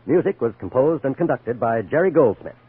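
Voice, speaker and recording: A man's voice: medium pitch, expressive, fairly quick. A man with an American accent reading scripted credits and announcements as a 1950s radio network announcer. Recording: muffled old radio broadcast, music behind.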